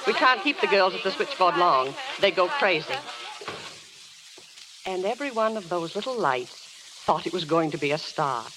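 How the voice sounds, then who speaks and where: An actress playing a matter-of-fact, weary casting office woman in a 1930s radio drama, dry and measured. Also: lowish voice, expressive, natural voice. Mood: dry, cautioning. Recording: hissy vintage radio broadcast.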